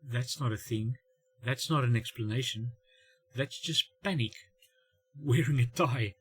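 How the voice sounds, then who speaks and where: Husky middle-aged man telling wry comedy. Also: mid-range voice, fairly bright tone. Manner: wry, self-mocking dad humour with dramatic pauses and acted-out dialogue. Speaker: host of a family humour channel. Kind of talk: comedic storytelling monologue.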